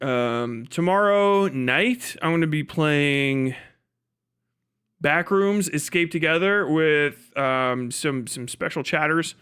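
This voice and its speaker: A man hosting a casual livestream, talking to his viewers in an animated, sweary, mocking way. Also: on the high side, clean tone. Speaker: live stream host.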